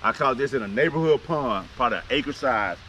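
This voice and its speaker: A middle-aged man with a Southern US accent, talking casually and excitedly to his fishing vlog viewers, often repeating himself. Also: mid-range voice, brisk. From Arkansas and Louisiana, United States. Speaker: fishing channel host. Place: outdoors by a neighborhood pond. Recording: noisy outdoor recording.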